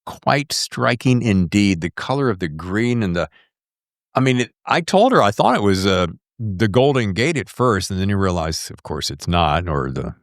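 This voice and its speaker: A man from the US South hosting a podcast in casual interview chat, animated and rambling with folksy slang. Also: on the low side, no echo, clean studio sound. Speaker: podcast host.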